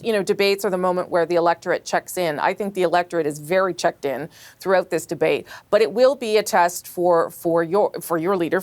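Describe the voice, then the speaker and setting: A Canadian woman hosting a political panel, her voice sitting on the lower side for a woman. She talks briskly, laughs easily and cuts in to steer her guests. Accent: Canadian English. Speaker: host.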